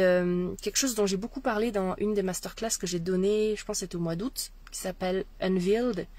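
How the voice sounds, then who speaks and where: A woman's voice: medium pitch, no echo. A woman from France, a spiritual coach, talking casually to her listener in a hesitant way, with many fillers and restarts. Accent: French. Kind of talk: casual spiritual monologue to camera.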